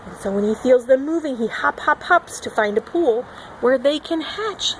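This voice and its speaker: Female teacher for a children's read-along, higher-pitched and expressive, amateur recording with some background noise. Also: moderate pace, rough recording. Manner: expressive, acting out animal sound words. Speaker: children's teacher reading aloud. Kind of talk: picture-book read-along with asides to young children.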